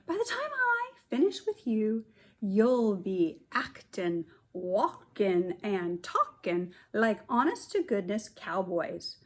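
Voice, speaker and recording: A storybook cowboy camp leader, as a woman reader puts him on. He is hearty and folksy, giving orders in a slow, swinging Western drawl. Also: medium pitch, very animated. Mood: hearty, commanding.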